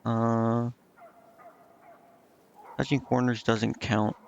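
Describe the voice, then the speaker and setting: Gravelly man, muffled game stream. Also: medium pitch, level tone, extremely slow, slight background noise. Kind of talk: casual gameplay commentary, reading guide text aloud. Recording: muffled lo-fi stream audio over game music. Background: game music.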